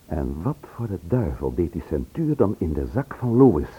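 A man's voice: low voice, big pitch swings, normal speed, highs cut off. An actor playing a troubled, insistent man in a radio play, questioning with emphasis. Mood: agitated, puzzled. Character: troubled, insistent man questioned about his murdered brother.

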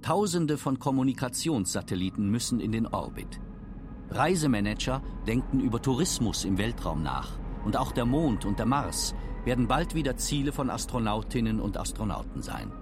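A man's voice: medium pitch, very animated, moderate pace. A man narrating a scripted radio documentary. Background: music.

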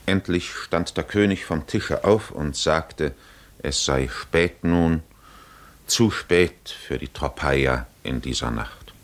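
An actor playing a grave storyteller of an ancient epic, narrating deliberately in literary 1950s German radio drama style. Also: male voice, very deep voice, exaggerated voice. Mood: grave, atmospheric.

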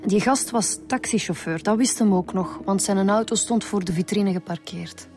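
A female actor as a Flemish police inspector with a middle-pitched voice. She is keen and chatty, in a radio play with faint music underneath. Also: moderate pace, darkish tone, close to an everyday voice. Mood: eager. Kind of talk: radio drama acting.

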